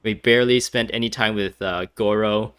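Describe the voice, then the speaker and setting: A man's voice: on the low side, fairly even intonation, normal speed, a bit bright, clean tone. A man giving casual, rambling commentary over a video game, with many fillers and frequent laughs. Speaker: gameplay streamer.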